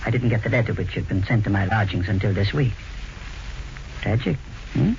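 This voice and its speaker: An actor playing a suave elderly blackmailer with mannered, falsely polite speech in an old radio mystery. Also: male voice, on the low side, a little fast, creaky, darkish tone. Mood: sly, smug. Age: older. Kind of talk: 1940s radio mystery acting. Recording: noisy, dull vintage radio recording.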